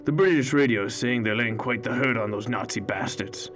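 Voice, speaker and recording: A man voicing a gruff, defiant older grandfather who grumbles and teases in a storybook reading. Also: medium pitch, a little fast, a bit bright, soft background music, lightly acted. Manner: gruff, teasing.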